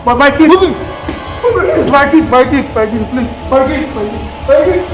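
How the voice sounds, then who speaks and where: A man's voice: very high-pitched, animated, slow pace, far from the mic, full character voice. A man playing a desperate subject begging his king in a screen drama. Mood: desperate pleading. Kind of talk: frantic screen drama acting. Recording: echoey, off-mic, music behind.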